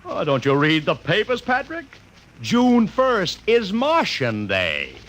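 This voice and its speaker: Brash, wheedling publicity promoter, animated. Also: male voice, high voice, loud music behind the voice, heavily acted. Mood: smug. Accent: American. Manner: fast, slangy, persuasive patter. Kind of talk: 1950s radio drama acting.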